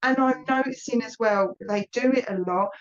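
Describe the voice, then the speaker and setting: A woman's voice: a little high, normal speed, lo-fi sound. A woman with an Essex accent giving a live mediumship reading to a viewer, chatty and reassuring as she passes on messages.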